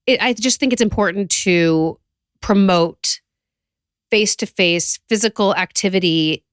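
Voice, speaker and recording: A woman co-hosting a podcast, talking casually and candidly with a friend, quick to react and give her opinion. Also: medium pitch, clear, resonant tone, dry recording, studio quality. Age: adult, parent. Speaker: podcast co-host.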